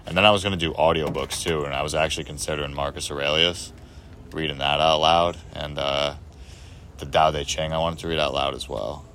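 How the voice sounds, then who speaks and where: Very deep, easygoing male co-host, faint noise behind. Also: steady tone, brisk, a bit bright. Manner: laid-back, short agreeing replies. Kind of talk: casual chat.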